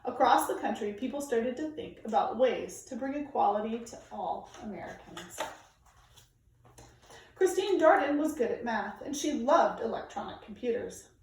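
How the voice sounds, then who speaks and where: A woman reading a children's nonfiction book aloud in a measured, emphatic way, phrasing it for young listeners. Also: fairly high pitch, lively intonation, a little hiss or hum. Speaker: storybook reader. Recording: very echoey room, distant microphone.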